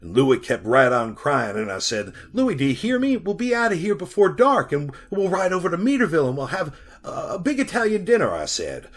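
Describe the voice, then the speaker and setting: An actor playing a haunted, bitter man who narrates a retro radio drama in a brooding, deliberate way with dramatic pauses. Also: on the high side, huge pitch swings. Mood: frantic reassurance. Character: haunted, bitter man recounting being lost in a mine.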